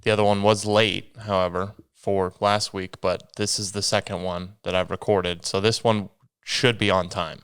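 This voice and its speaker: A man's voice: fairly low pitch, flat delivery, moderate pace, fairly bright tone, smooth, no room sound, clean studio sound. A young man hosting a solo podcast, rambling casually with a sniffly, stuffy nose. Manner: rambling, self-deprecating, meandering restarts. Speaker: podcast host.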